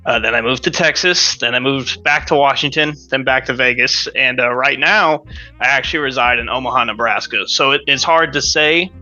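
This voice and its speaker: Very bright-voiced young man, lo-fi audio. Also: mid-range voice, moderate pace, soft background music. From western United States. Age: late 20s. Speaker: podcast guest. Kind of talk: casual interview chat.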